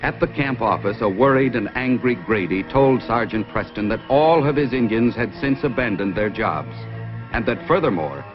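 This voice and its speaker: Male narrator, muffled old radio. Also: fairly low pitch. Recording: dull lo-fi old radio, music behind.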